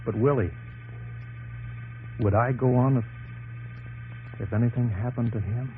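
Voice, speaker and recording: A man's voice: medium pitch, unhurried, natural voice. An American actor playing an earnest, thoughtful young male aviation pioneer in 1950s radio drama style. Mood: uneasy, reflective. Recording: muffled, noisy old radio broadcast recording.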